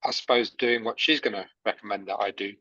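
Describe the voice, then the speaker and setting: A man's voice: fairly low pitch, steady tone, fairly quick, sharp tone, no echo. Man on a dull video call line. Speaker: participant. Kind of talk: casual chat.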